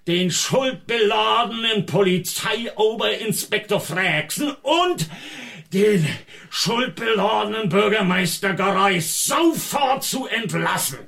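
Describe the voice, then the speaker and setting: An actor playing a gruff, hot-tempered, stubborn farmer with northern German dialect, blustering in a radio drama. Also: male voice, high-pitched, lively intonation, takes it slowly, a bit bright, heavily acted. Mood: stern, demanding. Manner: blustering, rustic dialect speech.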